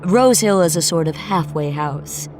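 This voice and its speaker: A woman narrator plays a kindly man who manages a building for the dead in an audio ghost story. He speaks slowly and low, with matter-of-fact, polished customer-service courtesy. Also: animated, music in the background. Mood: smooth sales pitch. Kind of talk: dramatised audiobook dialogue.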